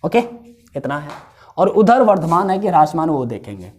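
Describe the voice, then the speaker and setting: Hoarse male math teacher explaining steps. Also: fairly high pitch, very animated, normal speed. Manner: explains step by step, repeats each value, asks the class questions. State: hoarse throat. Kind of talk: whiteboard math lesson.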